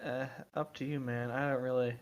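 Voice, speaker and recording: A man's voice: medium pitch, little pitch movement, normal speed. A man chatting casually as a co-host, teasing in an offhand way with dry humour. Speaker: co-host in a gaming chat. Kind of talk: casual chat.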